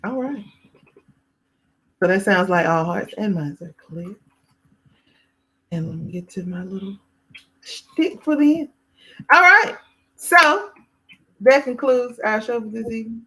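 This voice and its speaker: Bright-voiced woman hosting a podcast. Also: medium pitch, unhurried, long pauses, husky, low-quality recording. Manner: laughs easily, moderates the talk. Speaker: podcast host.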